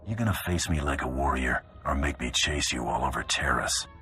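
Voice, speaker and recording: An actor playing a tough, no-nonsense bounty hunter in a video game cutscene, speaking tersely. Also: male voice, rumbling low, fairly quick, pushed and bright, slight background noise. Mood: tough, challenging. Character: gruff male bounty hunter, tough and businesslike.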